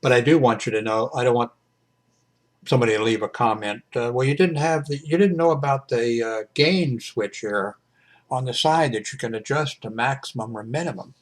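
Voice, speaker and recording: A man in his seventies talking casually and haltingly in an unscripted home video review, with frequent mid-sentence pauses. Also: mid-range voice. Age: 70s. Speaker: radio hobbyist reviewing a portable shortwave radio. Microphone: webcam microphone.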